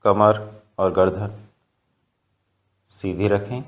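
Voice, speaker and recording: A man guiding a meditation in Hindi with calm, measured instructions. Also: fairly low pitch. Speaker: meditation guide.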